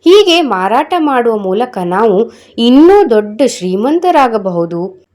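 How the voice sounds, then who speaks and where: A woman's voice: fairly high pitch, sing-song, slow, darkish tone. A woman voicing a greedy, impatient farmer's wife in a children's storybook fable, with a scheming, persuasive manner. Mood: greedy, coaxing.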